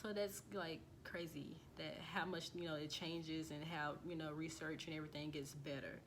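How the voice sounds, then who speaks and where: An American woman with a slightly low voice talks loosely to her viewers, full of "um"s and self-corrections. She reads through results as she goes. A faint hum sits underneath. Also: steady tone, moderate pace. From United States. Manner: chatty and loose, with frequent fillers and restarts. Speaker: vlogger. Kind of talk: casual talk to camera while reading results off a screen.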